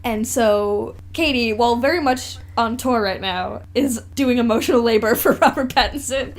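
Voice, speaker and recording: A woman's voice: on the high side, moderate pace. A woman co-hosting a podcast in casual banter, with a playful, teasing, slangy way of talking. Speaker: podcast co-host.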